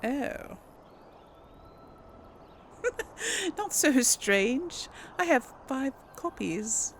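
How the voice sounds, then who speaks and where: Higher-voiced woman gamer, lively pitch. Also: extremely slow, slight background noise. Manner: informal thinking aloud to viewers. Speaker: video game let's player. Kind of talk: casual gameplay commentary.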